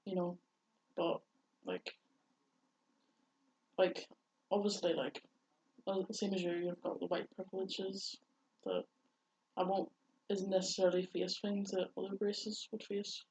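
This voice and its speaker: Quiet, breathy young woman. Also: mid-range voice, little pitch movement. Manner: quiet, hesitant, halting. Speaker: podcast co-host. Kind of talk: candid personal chat. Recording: dull, lo-fi sound.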